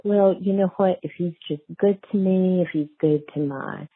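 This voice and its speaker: A woman interviewed as an author, talking casually and thoughtfully with halting phrases and emphatic key words, on narrow muffled audio. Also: on the low side, slightly rough, no echo. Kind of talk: casual conversational interview, explaining characters.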